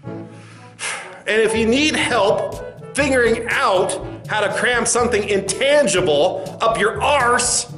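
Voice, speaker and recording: A man's voice: extremely high pitch, fairly bright tone, heavily acted. A man playing a clown corporate spokesman in a comedy sketch, with a mocking, condescending, emphatic delivery. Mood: scornful, gloating. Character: smug, taunting clown corporate spokesman of a video site. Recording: roomy echo with faint music behind.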